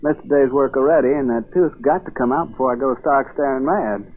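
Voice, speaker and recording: An actor in a 1940s radio drama playing a plain, stubborn farmer, folksy, hesitant and slow to catch on. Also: male voice, mid-range voice, lightly acted. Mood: wary, grudging. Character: plain, stubborn Massachusetts farmer with a nagging toothache. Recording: muffled vintage 1940s radio broadcast.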